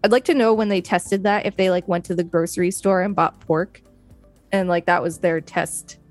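A woman's voice: fairly low pitch, steady tone, normal speed, no room sound, faint music behind. A woman co-hosting a podcast, reading an article aloud and adding casual asides. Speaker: podcast co-host.

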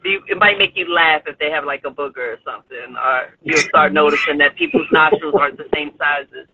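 High-voiced man on a tinny phone line. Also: fairly quick. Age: 40s. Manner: upbeat, encouraging, laughs often. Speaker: podcast guest. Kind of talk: casual chat. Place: in a car on the street. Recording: tinny phone line with faint road noise.

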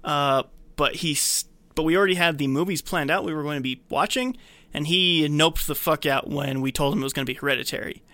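A man's voice: on the high side, brisk, bright, forceful tone. A man hosting a podcast in casual group chat, with a sarcastic, teasing manner. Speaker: podcast host.

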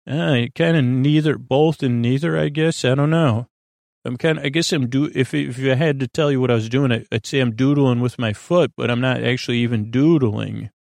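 Creaky-voiced man rambling on a sleep podcast. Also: mid-range voice, a little mellow, no echo. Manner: meandering, halting, self-interrupting. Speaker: podcast host.

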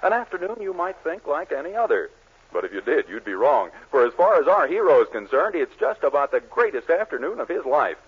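A man's voice: a little high, sing-song, brisk, slightly rough. A man announcing and narrating a 1940s radio comedy in a polished, emphatic delivery. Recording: tinny old radio broadcast with orchestra.

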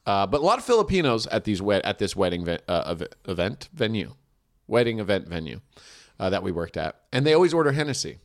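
A man's voice: on the low side, big pitch swings, fast. A man chatting casually and comically as a podcast co-host, telling anecdotes and acting out the quotes. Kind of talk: casual comedic chat.

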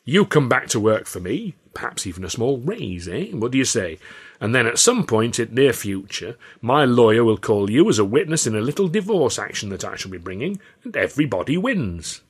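A man's voice: mid-range voice, big pitch swings, normal speed, husky. A man voicing a sly, overbearing older theatre boss, falsely genial and wheedling in a storybook reading. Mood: scheming, bargaining. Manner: wheedling, pointed, colloquial bargaining.

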